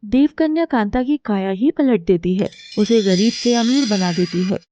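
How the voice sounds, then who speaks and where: A woman narrating a children's cartoon moral story in a steady storytelling way. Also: medium pitch, moderate pace, noisy background.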